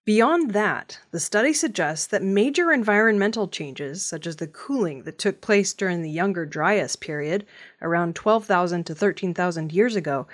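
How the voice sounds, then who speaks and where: A woman working as a news reader, delivering scripted news narration. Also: fairly low pitch.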